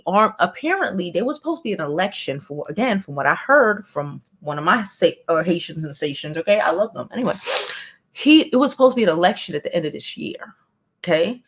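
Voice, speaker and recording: A woman, casually and bluntly commenting on news and reading it aloud from a home studio, with sighs and profane asides. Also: lowish voice. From Brooklyn, New York. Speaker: celebrity news vlogger. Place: home studio with neon sign, indoors.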